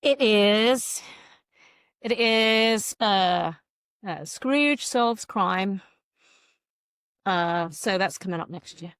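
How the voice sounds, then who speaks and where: A woman's voice: medium pitch, very slow, pauses often, a bit bright, clear, resonant tone, no room sound, clean studio sound. A woman talking casually as an author guest in an interview, chatty and jokingly self-deprecating.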